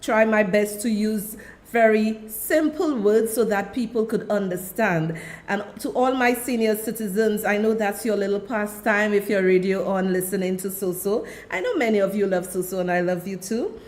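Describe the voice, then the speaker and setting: A woman's voice: medium pitch, normal speed, a little mellow. A woman with a Trinidadian accent hosting her own radio talk show, chatting directly to her listeners into a studio mic. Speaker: radio and web show host.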